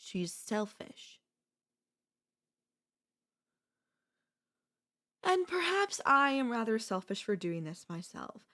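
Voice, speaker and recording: A woman playing an obsessive, possessive sister-in-law in an intimate ASMR audio roleplay, coolly confessing her love with deliberate pauses. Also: a little high, sing-song, bright, forceful tone, exaggerated voice. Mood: coolly confessional. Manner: slow, deliberate, with long pauses.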